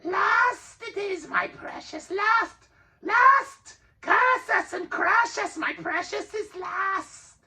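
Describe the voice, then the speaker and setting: Storybook creature: very high, slow, wailing and hissing. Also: male voice, bright, forceful tone, far from the mic, heavily acted. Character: wretched shrieking cave creature, male, old, hissing. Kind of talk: audiobook reading.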